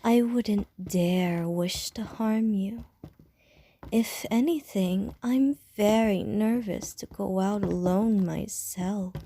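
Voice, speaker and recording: A woman's voice: medium pitch, slow pace, dark tone. A woman voicing a flirtatious, predatory female vampire in an ASMR roleplay, teasing in sly, often whispered innuendo.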